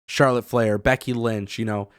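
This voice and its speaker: A man hosting a wrestling news show, his voice raspy from a cold, talking casually and giving his opinions with lots of fillers. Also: lowish voice, steady tone, moderate pace, no echo, studio quality. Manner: chatty and opinionated, with many fillers.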